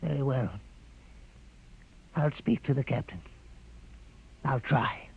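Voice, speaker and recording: An actor playing a fearful older uncle and council member in a 1950s radio drama, agitated and hesitant, with a creaky voice. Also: male voice, medium pitch, expressive, takes it slowly. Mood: alarmed, wavering. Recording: noisy, dull vintage radio recording.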